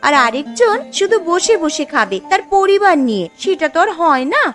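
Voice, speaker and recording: Woman acting a high-voiced, sly, spiteful wife. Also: sing-song, moderate pace, fairly bright tone, faint music behind, exaggerated voice. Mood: sly, insinuating. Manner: smug, insinuating. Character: sly, spiteful scheming wife who stirs family quarrels. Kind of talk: cartoon dialogue acting.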